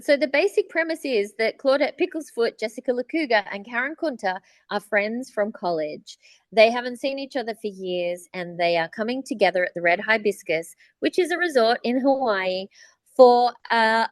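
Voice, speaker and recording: A woman's voice: fairly high pitch, normal speed, dry recording. A woman co-hosting a casual podcast, explaining things at length with enthusiasm. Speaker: podcast co-host, voice actor.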